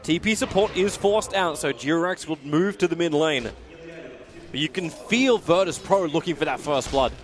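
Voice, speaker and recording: A man with an Australian accent giving excitable play-by-play commentary on an esports match as caster. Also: moderate pace. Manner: excitable, rising pitch in big moments.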